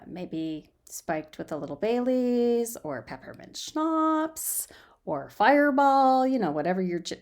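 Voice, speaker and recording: A woman reading and chatting as an amateur storyteller, informal and self-deprecating, recorded in a guest room on a laptop microphone. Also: on the high side. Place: quiet guest room at home. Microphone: built-in laptop microphone.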